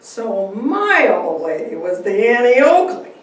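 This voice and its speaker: A woman's voice: a little high, very animated, unhurried, voice pushed up. A woman playing a folksy frontier father who tells his tale emphatically in a dramatic story reading. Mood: animated, amazed. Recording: very echoey room, distant mic, faint noise.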